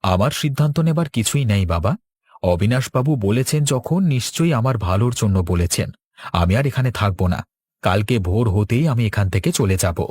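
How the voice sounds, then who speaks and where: A man's voice: on the low side, expressive, moderate pace, darkish tone, husky, dry recording. A man playing an earnest, resolute young village farmer, a dutiful son, in a cartoon. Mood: resigned, dutiful. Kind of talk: Bengali cartoon dialogue.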